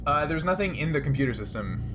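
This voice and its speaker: A man acting as game master in a tabletop role-playing session, speaking casually and haltingly as he thinks aloud. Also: a little high, very animated, over music.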